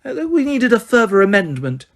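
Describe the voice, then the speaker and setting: A man's voice: sits high, wildly swooping pitch, moderate pace, voice pushed up. A man voicing a simpering female official with a sickly sweet, fussy way of talking.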